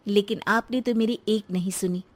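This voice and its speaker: A woman's voice: mid-range voice, steady tone, moderate pace, lightly acted. A woman voicing a sensible, thrifty wife in a story, speaking pointedly and reproachfully. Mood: pointed, proud.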